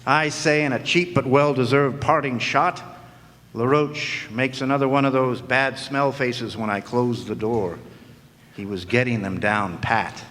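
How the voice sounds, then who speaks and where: A man's voice: mid-range voice, measured pace, a bit bright, a little hiss or hum. Wry hard-boiled male noir narrator. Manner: wry, sardonic. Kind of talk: 1940s noir detective narration in a live radio play. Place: historic club hall, live audience performance. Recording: long echoing hall reverb.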